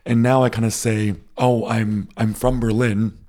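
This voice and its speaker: An American man co-hosting a podcast, chatting casually and quickly, often repeating his words. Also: fairly low pitch, level tone, darkish tone. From United States. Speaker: podcast co-host. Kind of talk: casual chat.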